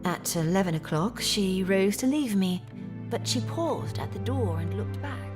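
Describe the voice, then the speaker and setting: An actress playing a troubled young gentlewoman in an audio drama, telling her story in a measured, formal, earnest way. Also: mid-range voice, expressive, music in the background, lightly acted. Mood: grave recollection.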